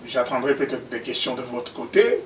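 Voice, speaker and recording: An older man from Congo, a veteran politician answering interview questions with hesitant pauses and fillers. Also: medium pitch, animated, a crowd in the background. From Congo (DRC). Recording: echoey room, mic far off, faint noise.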